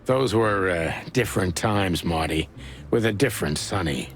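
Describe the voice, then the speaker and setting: A man's voice: low voice, animated, moderate pace. An actor playing a world-weary male rooster detective in a video game, with a dry, sardonic way of talking. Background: game music.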